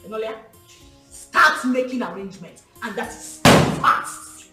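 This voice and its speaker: A woman's voice: on the high side, lively intonation, a little slow, loud music behind the voice. A woman acting as a scornful, hot-tempered housemate in a film drama, scolding sarcastically and emphatically with a Nigerian accent. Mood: angry, cold.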